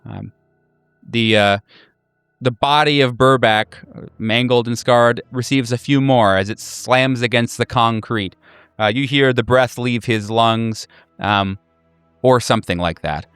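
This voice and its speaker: Smooth-voiced man as game master. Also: medium pitch, a little slow, a bit bright, dry recording, faint music behind. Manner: hesitant, conversational. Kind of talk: improvised game narration.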